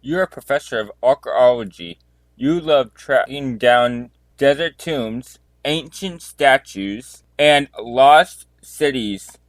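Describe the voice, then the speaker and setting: A man's voice: medium pitch, slow pace, no echo, close to an everyday voice. A man playing a methodical police detective in an amateur audio drama, addressing suspects in a formal, declamatory way. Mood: stern, expository.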